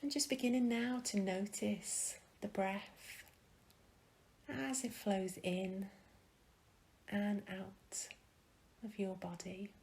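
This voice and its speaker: A woman leading a guided meditation in a soft, breathy, soothing manner with gentle pauses. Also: mid-range voice, steady tone.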